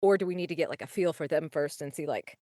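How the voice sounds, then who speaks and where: Dry-sounding, lowish-voiced woman chatting casually. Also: quick pace, mellow, dry recording. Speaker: tabletop roleplaying player. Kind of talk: casual chat during a game.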